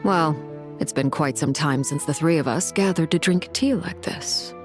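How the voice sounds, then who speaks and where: A woman voicing a poised, formal and gracious noblewoman in a video game, calm, measured and polite. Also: low-pitched, over music, lightly acted. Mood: composed, gracious. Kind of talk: English video game voice acting.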